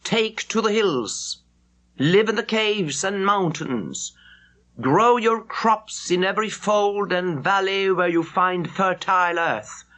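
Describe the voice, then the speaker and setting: A woman voicing a stern army command in an audiobook, emphatic and deliberate. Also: medium pitch, expressive, fairly bright tone, voice pushed up. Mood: stern, urgent. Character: stern male military command issuing harsh wartime orders.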